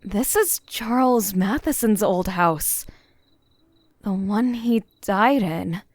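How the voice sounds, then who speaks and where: An actress playing a quiet, haunted woman in a horror audio drama, muttering her lines slowly. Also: medium pitch, lively intonation. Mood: grim realization. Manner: slow, hushed muttering.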